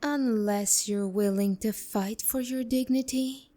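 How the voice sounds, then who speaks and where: A woman's voice: on the high side, slow pace, dark tone. A woman playing a haughty, seductive demon courtesan in a romantic ASMR roleplay, speaking to the listener with condescending, teasing bite. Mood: taunting, smug. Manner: condescending, teasing, biting insults.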